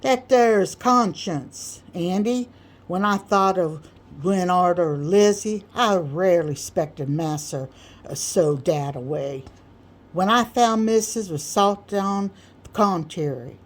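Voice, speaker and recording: A woman's voice: on the low side, slow pace. A pompous, boastful male orator from a storybook, acted out by a woman reader. He speaks in folksy dialect and leans hard on his grand words, holding forth as if to a crowd of admirers. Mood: self-important. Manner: grandiloquent, emphatic, folksy dialect speech with mangled big words.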